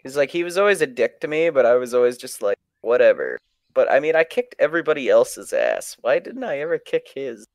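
A man's voice: mid-range voice, big pitch swings, brisk, no room sound, studio quality. Crude, profane young man chatting. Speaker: streamer telling stories. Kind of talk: casual chat.

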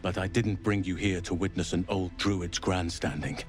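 An actor playing a solemn, earnest old elven druid in a video game, speaking in a grave, measured, formal way. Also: male voice, sits low, level tone, faint music behind, natural voice. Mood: resolute. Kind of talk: video game character acting.

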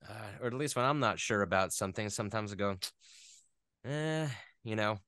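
Guy on a casual interview, deep-ish smooth voice, explains stuff haltingly, lots of you knows. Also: sharp tone, no room sound, studio quality. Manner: halting, filler-heavy explaining with playful sound imitations. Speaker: interview guest, game audio editor and voice actor.

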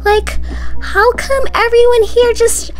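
A woman's voice: extremely high pitch, steady tone, slow, faint music behind, pitched above the performer's own voice. A woman voicing a chatty, insecure fourteen-year-old cheerleader in a cartoon school roleplay. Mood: whiny.

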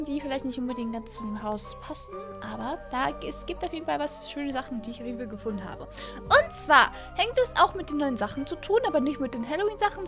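A woman in her twenties doing excitable, gushing let's-play commentary for viewers, sprinkling in English words, recorded on a close desk microphone in a small room. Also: high voice. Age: 20s. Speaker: host of a gaming channel. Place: small home gaming room, indoors. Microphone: close desk microphone on an arm, with headset. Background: background music.

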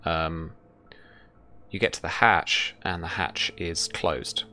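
A man's voice: low voice, steady tone, slow, sharp tone. A young man running a tabletop roleplaying game, narrating scenes and rulings in a casual way. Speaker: game master. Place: indoor room with bookshelves, on a video call.

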